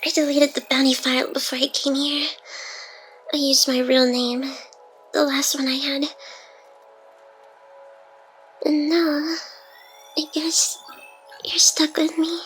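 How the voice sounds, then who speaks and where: Wounded, devoted AI hacker girl, played by a woman: high-pitched, breathy, teasing, halting, whisper-prone, close ASMR roleplay delivery. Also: level tone, a bit bright, faint music behind. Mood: tender, earnest. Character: playful, wounded young female AI hacker, secretly devoted. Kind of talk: intimate ASMR roleplay acting.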